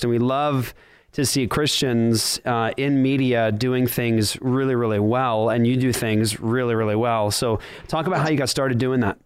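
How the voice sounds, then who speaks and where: A man's voice: mid-range voice, clear, resonant tone, no room sound. Brief: male talk-show host, casual and filler-heavy, clean close sound. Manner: rambling, filler-heavy, jokey. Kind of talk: casual chat.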